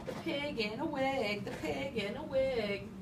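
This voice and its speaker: Storybook lambs, female reader: young, high, exclaiming, mocking. Distant, echoey classroom recording. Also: a little slow. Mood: mocking, excited. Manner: exclaiming, taunting. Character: teasing young lambs, giggling and jeering. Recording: roomy, distant, clearly noisy classroom recording.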